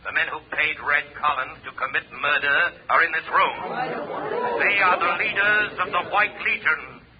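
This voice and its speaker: A man's voice: on the high side, crowd noise, close to an everyday voice. Man playing an ominous, commanding vigilante of old radio. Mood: accusing, grave. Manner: deliberate, dramatic, ominous declarations. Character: mysterious vigilante man, commanding, menacing and accusing. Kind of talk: 1930s radio acting. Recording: noisy, muffled 1930s radio recording.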